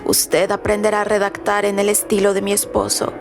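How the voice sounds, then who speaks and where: A woman playing a frail, commanding old widow in a radio drama, speaking formally and tersely with a creaky voice. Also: medium pitch, monotone, brisk, natural voice. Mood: imperious, businesslike. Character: frail, imperious old widow, cryptic and insistent. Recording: loud music underneath. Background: music.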